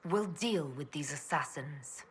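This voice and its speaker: An actress playing a direct, commanding woman leader in a video game, plain-spoken with a husky voice. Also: low-pitched, expressive, measured pace, poor audio. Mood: resolute. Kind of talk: video game dramatic acting.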